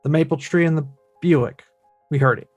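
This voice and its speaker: Man playing an earnest, frustrated son, higher-pitched. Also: normal speed, no room sound, faint music behind, natural voice. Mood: exasperated. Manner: earnest, pressing, emphatic. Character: frustrated, earnest grown son confronting his father. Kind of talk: table read of a film script.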